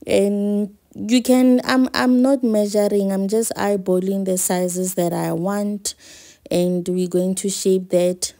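A woman's voice: mid-range voice, flat delivery, takes it slowly, warm tone. A woman chatting unhurriedly as she cooks in her home kitchen, thinking aloud. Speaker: home cook vlogger. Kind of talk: casual cooking narration.

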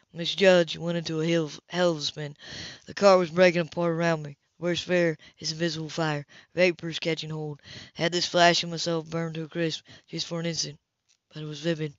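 A woman's voice: sits low, flat delivery, moderate pace, no room sound, muffled recording, pitched below the performer's own voice, close to an everyday voice. A woman voicing a blunt, impatient, hot-headed male racer in an audiobook romance. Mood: shaken, pleading. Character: hot-headed male racer, gruff, defensive, earnest lover.

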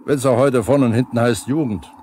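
A gruff veteran line-crew foreman, played by a male actor, proud and quick to take offence. He blusters and grumbles in a mid-pitched man's voice. It is a 1970s radio drama with faint record noise. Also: darkish tone, close to an everyday voice. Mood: smug. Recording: faintly noisy 1970s vinyl radio play.

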